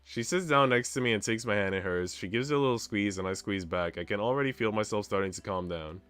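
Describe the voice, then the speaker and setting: A man's voice: fairly low pitch, fast, fairly bright tone, clean tone. A man streaming a visual novel, reading the story narration expressively with casual asides.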